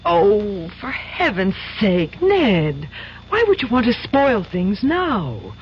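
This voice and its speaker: On a muffled radio recording with faint noise, a young American society woman. She is coaxing and teasing with a shrewd, pointed edge, her pitch moving about freely. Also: huge pitch swings, slow. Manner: playful, cajoling, pointed. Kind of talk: 1970s American radio drama acting. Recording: dull, noisy old radio broadcast.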